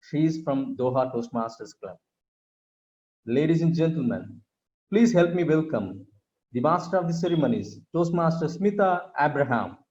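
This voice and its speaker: Slightly muffled video call audio carries a man's mellow voice, a little higher than average. He speaks formally as an event host, making polite announcements and introductions in a measured way. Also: animated. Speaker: host introducing next session. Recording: dull, band-limited video call audio.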